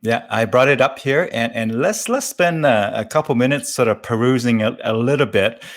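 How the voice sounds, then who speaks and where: A man hosting a casual interview, talking in a halting, explanatory way and often checking in with a questioning tag. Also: medium pitch, expressive. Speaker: interview show host.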